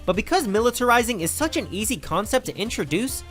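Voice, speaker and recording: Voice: medium pitch, very animated, fast, fairly bright tone, faint music behind. A young adult host narrating a scripted comedic video essay in a sarcastic, exclamatory, casually profane way.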